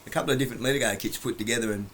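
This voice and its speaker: Man, mid pitch, preachy, rambling, blunt, laughs at himself. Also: rapid-fire, fairly bright tone, slight background noise. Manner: lecturing, blunt, rambling, trails off mid-word. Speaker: vlogger. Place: home, on a couch.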